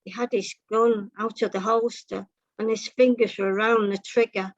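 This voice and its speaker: A woman's voice: mid-range voice, fairly even intonation, normal speed, dry recording. A woman reading a comic short story over a video call. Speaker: story reader. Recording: muffled, dull online meeting audio.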